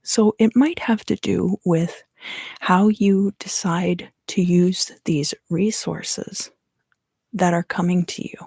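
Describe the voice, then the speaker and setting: A woman giving an ASMR card reading for a live stream in a soft, unhurried, often fully whispered voice, close to the microphone. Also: on the low side, steady tone, vocal fry, breathy edge, dry recording. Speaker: ASMR tarot reader and live stream host.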